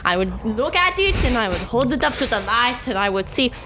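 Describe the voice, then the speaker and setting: A woman's voice: on the high side, very animated, background noise. A woman playing a proud, beefy foreign strongman. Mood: boastful. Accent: put-on foreign accent, broken English. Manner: boastful, drops articles, slow emphatic delivery. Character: huge beefy foreign man, proud and dim. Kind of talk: improvised comedy podcast.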